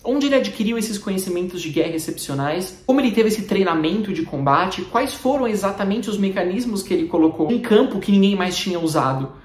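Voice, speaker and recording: A woman with a Brazilian Portuguese accent reviews a film as a critic, in a measured and articulate scripted delivery. Her voice sits at an ordinary middle pitch. The room adds a noticeable echo around her. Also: lively intonation, a little mellow. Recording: roomy, echoey room.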